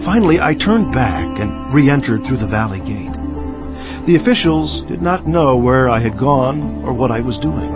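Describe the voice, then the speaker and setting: Devout male scripture narrator, played by an actor: solemn, emphatic, highly animated, soft music behind. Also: close to an everyday voice. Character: Nehemiah, devout, earnest male cupbearer narrating his memoir. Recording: slightly dull audio with music behind.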